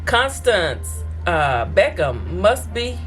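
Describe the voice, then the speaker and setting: A chatty woman hosts her eating show, reading viewer comments aloud. Her pitch sits in the middle and her manner is folksy, talking straight to the people watching. A little music plays softly behind her. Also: very animated, drawn-out words. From Texas.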